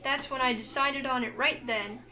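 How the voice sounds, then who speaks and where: A woman voicing a curious, introspective young heroine, reading her thoughts and lines aloud from a visual novel in an earnest, expressive way. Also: sits high, moderate pace. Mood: wistful.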